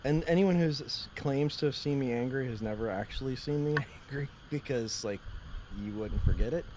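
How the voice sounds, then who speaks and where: A man co-hosting a podcast with a deep voice, telling rambling stories and laughing often in casual chat. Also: moderate pace, background noise. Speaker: podcast co-host.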